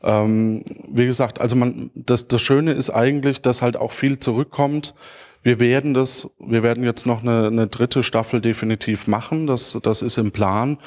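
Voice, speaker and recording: A man's voice: medium pitch, fairly even intonation, moderate pace, a little mellow. Man in his thirties, informal conference speaker. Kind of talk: informal talk answering audience questions. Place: large auditorium stage. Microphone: handheld microphone.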